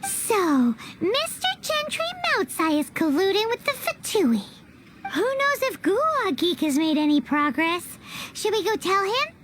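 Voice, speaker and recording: A woman voicing a small, chirpy, childlike video game companion who is nosy and blunt, with a squeaky voice. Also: very animated, a little slow, very noisy, exaggerated voice. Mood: nagging, sceptical. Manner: speaks of herself in third person.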